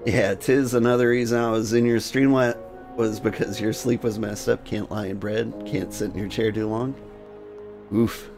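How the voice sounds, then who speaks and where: A man hosting a game livestream, switching between jokey, rambling chat and reading game text aloud in a gravelly voice. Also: fairly low pitch, fairly even intonation, normal speed. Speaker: game streamer and host. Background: music.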